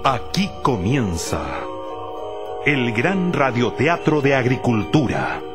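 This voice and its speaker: A male radio drama announcer with a mid-range voice that swings widely. He declaims titles and credits slowly and grandly over loud music. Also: medium pitch, sing-song. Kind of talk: scripted theatrical announcing.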